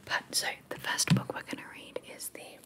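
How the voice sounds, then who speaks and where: A woman whispering softly as she reads a bedtime storybook aloud in an ASMR style. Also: moderate pace. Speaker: reader.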